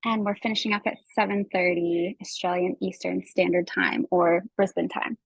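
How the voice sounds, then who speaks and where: A woman's voice: on the low side, fairly even intonation, moderate pace, vocal fry, husky, no echo, low-quality recording. A woman with a Canadian accent hosting an online workshop over a video call, talking in a friendly, informal way. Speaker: workshop host and facilitator. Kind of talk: presenting an online workshop to participants.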